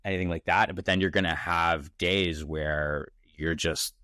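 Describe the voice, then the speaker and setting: A man of thirty speaks in a low voice, casual and energetic. He thinks aloud as a founder on a podcast, restarting often. The sound is dry and close. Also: a little slow, no room sound. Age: 30s. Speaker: startup founder, podcast guest. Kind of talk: casual interview conversation. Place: Toronto.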